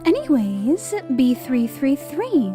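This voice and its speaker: Saccharine female bureaucrat boss, acted by a woman, high and swooping, condescending, for audio drama. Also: very animated, slow pace, music in the background, heavily acted. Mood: breezily commanding. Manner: saccharine, condescending, falsely cheerful. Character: sugary, menacing female director of an otherworldly bureaucracy. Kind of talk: scripted audio drama acting.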